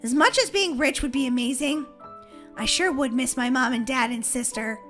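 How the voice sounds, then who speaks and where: A woman's voice: high voice, moderate pace, a bit bright, faint music behind. A woman voicing a sweet, earnest young girl who loves her family, in a game roleplay story.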